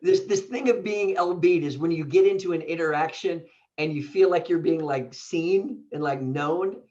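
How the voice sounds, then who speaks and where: A man's voice: on the high side, moderate pace, darkish tone. An American man, a pastor appearing as a podcast guest, talking casually in an effusive, playful, informal way. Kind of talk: casual conversational interview. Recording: dull, slightly lo-fi audio.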